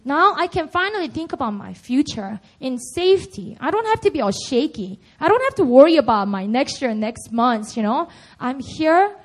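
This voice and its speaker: A woman's voice: high voice, sing-song, normal speed, few pauses. A young woman with a Korean accent, speaking English as a second language, giving an earnest personal testimony at a church service, laughing often and leaning on "you know". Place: church hall.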